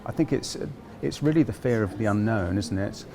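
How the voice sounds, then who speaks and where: Lower-voiced man over crowd chatter. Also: expressive. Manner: measured, argues with figures. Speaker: health technology executive. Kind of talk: panel interview at an event. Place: conference event. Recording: busy crowd noise behind.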